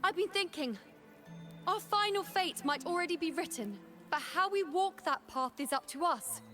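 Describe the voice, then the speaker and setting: A woman's voice: extremely high pitch, expressive, moderate pace, pushed and bright, exaggerated voice. An actress voicing a bold, fiercely encouraging young woman in a dramatic video game, speaking in an earnest, emphatic, commanding way. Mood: rousing, urgent. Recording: background music under the voice.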